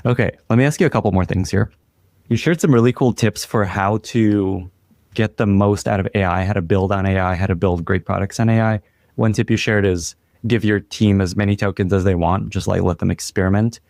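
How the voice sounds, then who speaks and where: A man originally from Ukraine, hosting a casual interview and asking questions in a relaxed, conversational way. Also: lowish voice, a little fast, gravelly, no echo, studio quality. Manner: conversational, easy laughs, thinking aloud. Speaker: podcast host.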